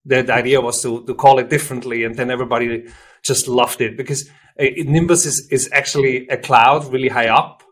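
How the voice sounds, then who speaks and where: A man from Switzerland, a native German speaker with a Swiss German accent, explaining things informally and haltingly as an interview guest, often rephrasing himself. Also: medium pitch, fairly even intonation, fairly bright tone. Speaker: software company representative, interview guest. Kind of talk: conversational product interview.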